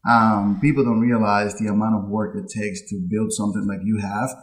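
A man in his late thirties from Puerto Rico, a guest on an insurance-industry interview podcast, telling his story in a chatty, animated way with frequent laughs, recorded on a headset mic over a video call from a home office. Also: fairly low pitch, brisk. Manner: chatty, animated with hand gestures, laughs often. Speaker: insurance agency owner, guest. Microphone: headset with boom mic on video call.

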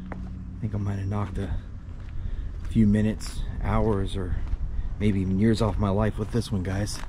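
A man's voice: lowish voice, measured pace. Jumpy man filming an abandoned mansion. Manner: jumpy, excitable, swears often. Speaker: paranormal vlogger. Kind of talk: handheld exploration vlog. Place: abandoned mansion and overgrown grounds, in and outdoors. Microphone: handheld selfie camera. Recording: noisy outdoor ambience.

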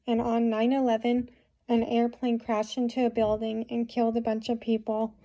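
A young woman talking to her phone camera in a small room, giving rambling advice with many fillers, a bit hoarse from a cold. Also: fairly high pitch, completely flat delivery, moderate pace, mellow, low-quality recording. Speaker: vlogger. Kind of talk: casual talk to camera. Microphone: phone camera at close range.

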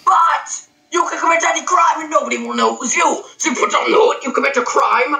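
A person ranting in a frantic comedic yell, hyper and manic the whole way through, in an echoey room away from the mic. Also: high voice, a little fast, gravelly, recorded from a distance. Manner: yelling nonstop, hyper and manic.

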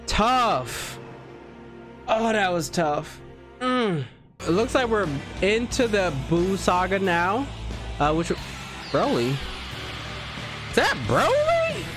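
Excitable high-voiced man gaming on a headset. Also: slow, pauses often. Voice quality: fairly high-pitched voice. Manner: excitable, loose slangy reactions. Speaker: gaming streamer. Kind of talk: casual gameplay commentary. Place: home gaming setup, indoors. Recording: game music playing behind. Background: game music and sound effects.

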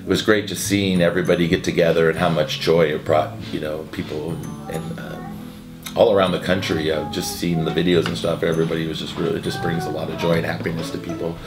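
A man in his forties, seated indoors by a window, answering interview questions in a halting way, full of restarts and uhs. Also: sits low, little pitch movement, echoey room. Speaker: event co-founder. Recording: music bed underneath.

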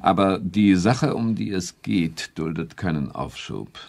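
An actor playing a formal, sardonic, authoritative state premier in a radio drama. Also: male voice, low voice, animated, measured pace, vocal fry, darkish tone, exaggerated voice. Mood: grave. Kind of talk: German radio drama acting.

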